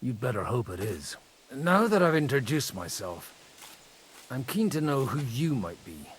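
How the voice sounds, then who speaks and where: A wry, worldly warrior priest. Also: male voice, mid-range voice, big pitch swings, slightly rough. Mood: wry, self-assured. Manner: measured, dryly witty, quotes Latin. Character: worldly, wry, sword-wielding medieval parish priest. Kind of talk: video game cutscene acting.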